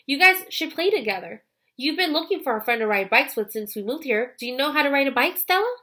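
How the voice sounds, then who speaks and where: A woman's voice: sits high, expressive, fairly quick, bright, forceful tone, pitched above the performer's own voice. A woman voicing a friendly, encouraging father in a children's storybook, cheerful and welcoming.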